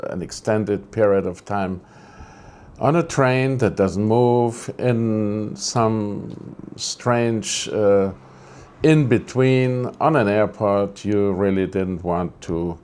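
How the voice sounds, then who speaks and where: Slow-talking man, low voice. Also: a little mellow. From Greece. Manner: grumbling, rambling, corrects himself mid-sentence. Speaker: photography channel host. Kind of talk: casual vlog update. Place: indoors, air conditioning switched off.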